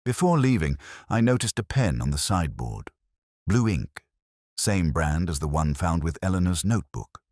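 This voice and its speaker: A man voicing a wry, literary middle-aged male detective, narrating a mystery in a measured, reflective first person. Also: low-pitched, expressive, no room sound, clean studio sound. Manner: measured, reflective, quietly observant.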